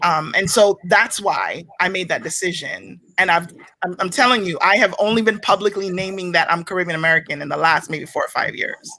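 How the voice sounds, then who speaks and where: A middle-aged woman from Jamaica, speaking as a panelist on a video call with quick, animated storytelling. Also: fairly low pitch, poor audio.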